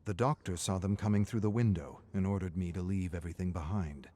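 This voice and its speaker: A man voicing a weary survivor scientist recording game audio logs, measured and grave, his phrases trailing off into a hush. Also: deep voice. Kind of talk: scripted dramatic audio log narration.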